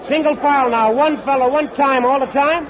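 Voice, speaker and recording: An actor playing a bossy ship's hand, barking choppy pidgin commands in an old-time radio drama. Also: male voice, extremely high pitch, fairly even intonation, a little fast. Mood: bossy urgent. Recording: muffled vintage radio, crowd behind.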